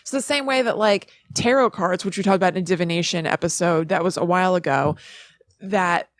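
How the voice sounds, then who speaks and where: A woman's voice: on the low side, fairly quick. A woman co-hosting a podcast, explaining things in a casual, informal, musing way with plenty of filler words. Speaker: podcast co-host presenting research.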